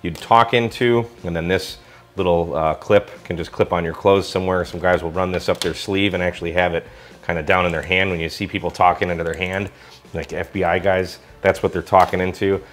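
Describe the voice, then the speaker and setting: A man's voice: low-pitched, fairly even intonation, a little fast, a bit bright. A man with an American accent giving a casual hands-on gadget review in an enthusiastic, colloquial way. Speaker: product reviewer.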